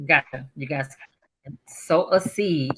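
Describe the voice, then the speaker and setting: A woman's voice: deep voice, a little slow, a bit bright, a little hiss or hum, rough recording. A woman hosting a casual, upbeat talk show, speaking in an effusive, encouraging way. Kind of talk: casual upbeat interview chat.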